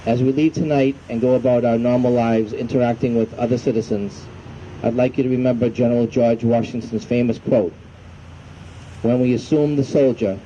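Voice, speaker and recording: A man delivers a formal, solemn address at an outdoor ceremony. His voice is very dark and soft-edged, of medium pitch. The recording is dull, with faint ambient noise behind him. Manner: solemn, measured reading. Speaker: veterans' group commander. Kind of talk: formal prepared ceremonial speech. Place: outdoor evening ceremony in a park. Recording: muffled, faint outdoor hum and traffic noise.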